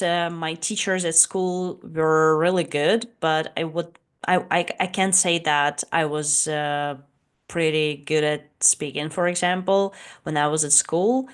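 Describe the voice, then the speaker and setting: A Russian woman in her late twenties who speaks English with a Russian accent and whose native language is Russian, introducing her vlog as an English teacher in a slightly shy, hesitant way with frequent pauses. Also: low voice, clean tone. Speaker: English teacher and channel host. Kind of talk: casual talking-head vlog introduction.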